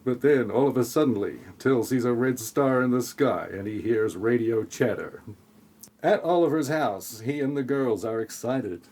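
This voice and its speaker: A man narrates a scripted comic audio play in an ordinary mid-pitched voice. He keeps a deadpan delivery and drops sarcastic asides as he sets each scene. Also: normal speed.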